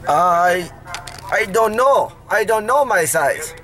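Man putting on a high voice, slow halting broken English, earnest simple foreigner, prank call from inside a car. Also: lively intonation, sharp tone, exaggerated voice. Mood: reluctant. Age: 30s. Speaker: prank caller, video host. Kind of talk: prank phone call improvisation. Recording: car interior with faint noise.

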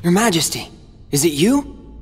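A man's voice: fairly high pitch, big pitch swings, unhurried, slightly rough, heavily acted. An actor playing a brooding, earnest teenage boy hero in a video game, hesitant and intense in delivery. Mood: relieved, amazed. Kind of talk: dramatic video game cutscene acting. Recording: faint music behind the voice.

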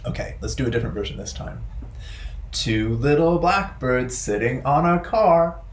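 A man in his thirties telling stories and rhymes to small children as a storytime host, in playful, simple, deliberate phrasing. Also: medium pitch, animated, slight background noise. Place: bright indoor room with wooden floor.

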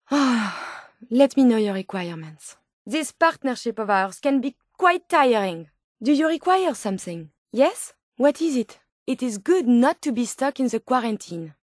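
A female robot scientist from a video game with a French accent. Her voice sits fairly high and sounds close and dry. She speaks formally and precisely, earnest and politely curious. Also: darkish tone, no echo. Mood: inquisitive, helpful. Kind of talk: video game companion voice lines.

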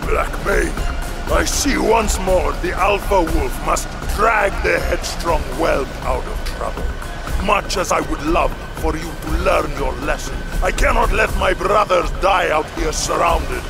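An actor playing a scornful veteran warlord in an audio drama, declaiming imperious orders. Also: male voice, on the high side, a little slow, vocal fry. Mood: scornful command. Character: commanding, scornful veteran warlord, the Great Wolf. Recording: loud music under the voice.

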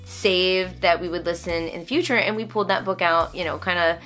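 A woman in her thirties or forties in casual, animated conversation to camera, reviewing things and breaking off her own sentences, recorded in a room at home. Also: fairly low pitch, fairly quick, faint music behind. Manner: animated, conversational, self-interrupting. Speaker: vlogger and homeschooling mother. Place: small furnished room at home, indoors.

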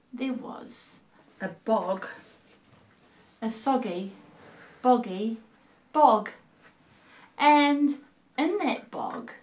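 A middle-aged woman hosting storytime for children, speaking in a deliberate, emphatic, playful storytelling style. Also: on the high side. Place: small home living room. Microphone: camera a few feet away.

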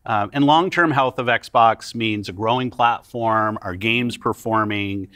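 A middle-aged man, a gaming company executive, answering podcast interview questions in a calm, deliberate way. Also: fairly low pitch, no echo. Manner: calm and deliberate, careful with his words. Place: studio set.

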